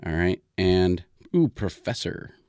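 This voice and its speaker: Male storyteller, lowish voice, dry close sound, informal ad-lib delivery with thinking-aloud pauses. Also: animated, darkish tone, smooth, no room sound. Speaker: storytelling podcast host. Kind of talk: casual improvised storytelling.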